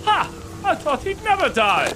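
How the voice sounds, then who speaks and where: An actor playing a callous, gossiping merchant in an audio drama, with a mocking, folksy manner. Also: male voice, very high voice, wildly swooping pitch, unhurried, over music, exaggerated voice, low-quality recording. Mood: gleeful scorn.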